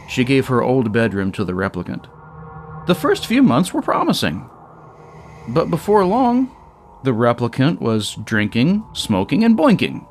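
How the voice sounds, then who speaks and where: Man narrating a story, very animated pitch. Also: gravelly, a little hiss or hum. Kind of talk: dramatic scripted story narration.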